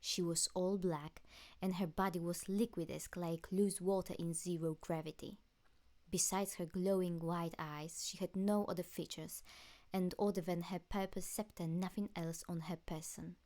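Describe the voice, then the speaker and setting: Woman, audiobook narrator, scripted storytelling, lowish pitch. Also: steady tone, normal speed, mellow.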